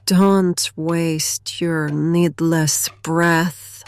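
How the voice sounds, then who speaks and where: A woman's voice: sits low, steady tone, extremely slow, smooth, full character voice. A woman playing a ghostly, deranged mother in a horror audio drama, crooning sweetly and menacingly with eerie whispers.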